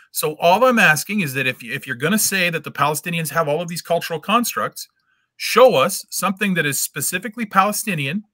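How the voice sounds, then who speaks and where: A man's voice: high-pitched, bright, forceful tone, dry recording. A middle-aged man arguing his case in an online debate, insistent and lecturing, repeating words as he presses his point from a room at home on a video call. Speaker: debate guest. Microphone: webcam video call.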